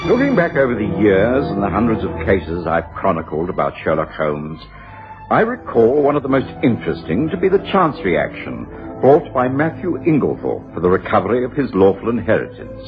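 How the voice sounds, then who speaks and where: A mild, sceptical gentleman chronicler in a radio play. His voice is low and moves in lively swings, with formal, measured phrasing. The sound is a dull old radio broadcast. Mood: measured reminiscence. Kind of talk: radio drama acting, narration and dialogue. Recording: dull 1980s radio broadcast, faint music.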